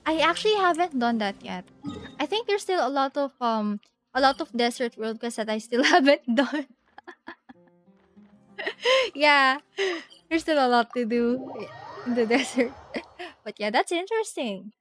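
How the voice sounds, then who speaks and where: A woman's voice: high voice, animated, a little slow, long pauses, soft background music. A woman streamer thinking aloud in a casual, giggly way as she plays a video game live.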